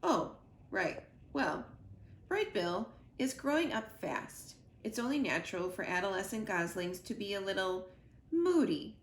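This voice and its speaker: A woman's voice: mid-range voice, big pitch swings, unhurried. A woman voicing a kindly adult goose in a children's storybook, casual, knowing and reassuring. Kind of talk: children's storybook read-aloud. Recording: roomy echo, noticeable background noise.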